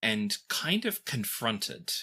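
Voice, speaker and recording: A man's voice: on the low side, big pitch swings, takes it slowly, pushed and bright, breathy edge. A man narrating a tabletop game as game master.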